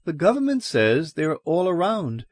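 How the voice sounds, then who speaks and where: A chatty, wary landlady in a storybook, pitched somewhat higher, as a male narrator puts her on. Her voice is neighborly and talkative, recorded close and dry. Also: sing-song, measured pace, dry recording. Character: friendly cautious boarding-house landlady.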